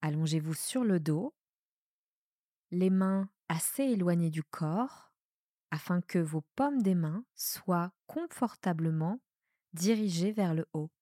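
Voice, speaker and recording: A woman with a soft voice guiding a relaxation meditation in a calm, soothing manner with measured pauses. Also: on the low side, no echo, clean studio sound. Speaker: yoga nidra guide. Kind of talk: guided meditation, relaxation instruction.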